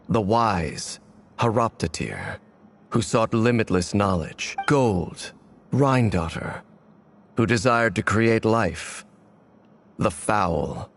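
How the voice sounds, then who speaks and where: A grave, deep-voiced swordsman. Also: heavily acted. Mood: solemn. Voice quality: deep, measured. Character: grave, weary male swordsman haunted by old betrayal. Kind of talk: video game dialogue.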